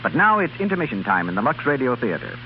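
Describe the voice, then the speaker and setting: A man's voice: medium pitch, huge pitch swings, fast. A man announcing a 1930s radio show, reading scripted narration in a polished, presentational way. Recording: dull, hissy 1930s radio recording.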